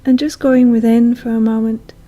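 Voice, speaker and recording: A woman leads a guided meditation in a soothing, hushed manner, leaving long pauses. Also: fairly high pitch. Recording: soft music behind voice. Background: calm meditation music.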